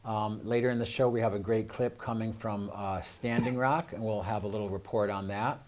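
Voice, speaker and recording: A man's voice: on the low side, steady tone, normal speed, darkish tone. An American man hosting a casual studio interview, talking in a rambling, hesitant way. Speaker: show host. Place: live studio.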